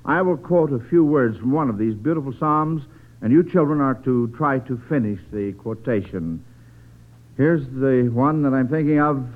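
A man's voice: mid-range voice, expressive, normal speed. A man hosting a 1940s radio quiz for children in an encouraging, folksy, slightly hesitant way. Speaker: quiz show host. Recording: muffled old radio broadcast.

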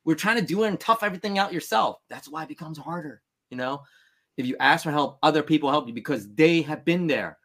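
A man's voice: on the high side, lively intonation, quick pace, a bit bright. A man in his thirties, born in Vietnam, talking as a podcast guest in an earnest, emphatic, motivational way. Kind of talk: candid interview talk.

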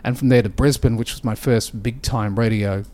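An Australian man in his fifties, a radio DJ telling animated, self-deprecating stories about his career in a relaxed interview in a radio studio. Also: mid-range voice, normal speed. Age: 50s. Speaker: radio DJ guest. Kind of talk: casual interview storytelling.

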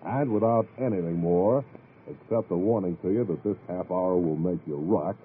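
A man's voice: low-pitched, normal speed, husky. An American man hosting a 1940s radio jazz show as master of ceremonies, making showmanlike introductions. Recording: muffled vintage radio broadcast, faint noise.

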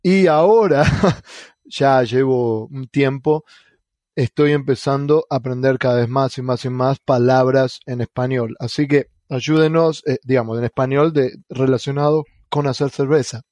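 A man's voice: mid-range voice, measured pace, a little mellow, no echo. A man from Argentina with an Argentine Spanish accent, co-hosting a casual podcast chat with many fillers and restarts. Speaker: podcast co-host.

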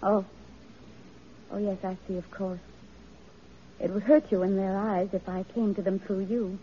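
A woman's voice: mid-range voice, level tone, a little slow, close to an everyday voice. An actress in an old-time radio drama playing an earnest, determined woman reformer with a genteel, emotive, pleading manner. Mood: hopeful, earnest. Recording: dull vintage radio recording, noisy, crowd behind.

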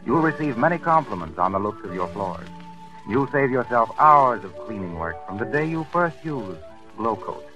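An American man announcing in a polite, smooth 1940s radio advertising style. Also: medium pitch, sing-song, moderate pace, poor audio. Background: music.

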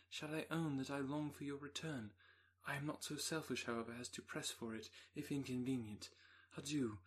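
A man reading a young woman's anxious letter aloud in an audiobook, in an even, composed way. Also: medium pitch, breathy edge.

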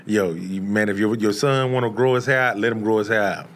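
A man's voice: fairly low pitch, brisk, a bit bright. A man hosting a casual podcast interview, talking in a laid-back, joking way. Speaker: podcast host. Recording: faint background noise.

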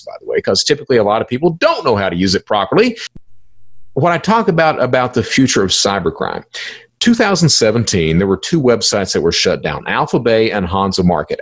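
A man with a fairly low voice that swings widely in pitch as he delivers a solo explainer. He is emphatic, circling back to repeat key phrases and asking questions that he then answers himself. His tone has a rough, husky edge. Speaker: podcast host explaining cybercrime.

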